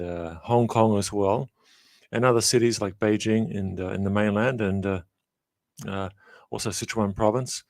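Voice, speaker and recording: A middle-aged man from Australia, a professor giving measured, reflective interview answers full of small pauses and fillers. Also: lowish voice, no room sound. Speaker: guest, professor.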